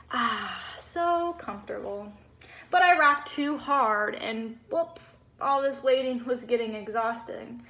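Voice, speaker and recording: Female reader as naive, cheerful boy snowman for a storybook: high-pitched, expressive, bubbly, innocent. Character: cheerful, naive little male snowman telling his story.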